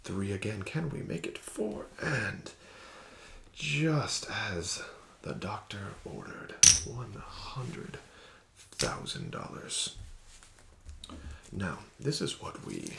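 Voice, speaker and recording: Male ASMR roleplay dealer: low, slow, soft and coaxing, lively rise and fall. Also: slight background noise. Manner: soft-spoken, often whispering; smooth, flattering, gently coaxing. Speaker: ASMR roleplay host playing a casino dealer.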